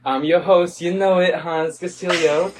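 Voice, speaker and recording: A man's voice: on the high side, steady tone, unhurried, far from the mic, noisy background. A young man hosting a casual podcast chat, talking loosely with lots of fillers and playful quoted voices. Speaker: podcast host.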